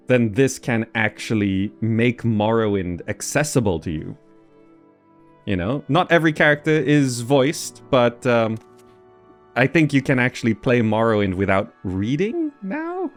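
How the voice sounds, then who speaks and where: A man hosting a gaming video with casual, hesitant commentary, thinking aloud as he plays. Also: mid-range voice. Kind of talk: casual game playthrough commentary.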